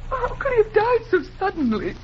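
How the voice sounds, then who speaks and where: An actress playing a grief-stricken young wife, crying melodramatically in a 1940s radio play. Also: female voice, very high voice, big pitch swings, a little fast. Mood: anguished. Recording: muffled, noisy 1940s radio recording.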